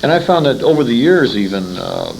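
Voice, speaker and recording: A man's voice: medium pitch, sing-song, brisk, a little mellow. A man reminiscing casually in conversation, often repeating words as he searches for the right phrase. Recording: slightly noisy amateur 1980s convention recording.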